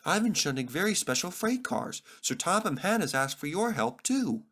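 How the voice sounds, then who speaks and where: Helpful storybook engine, male reader: lightly raised pitch, bright, eager and explanatory. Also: big pitch swings, moderate pace, heavily acted. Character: cheerful, helpful male steam engine sharing news. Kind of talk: children's storybook character voice.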